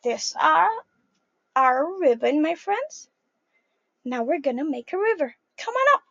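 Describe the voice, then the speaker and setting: A young woman reading a picture book aloud to young children in a sing-song, animated way, calling them her friends. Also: sits high, a little slow, vocal fry, dry recording, dull audio. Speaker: early childhood storyteller.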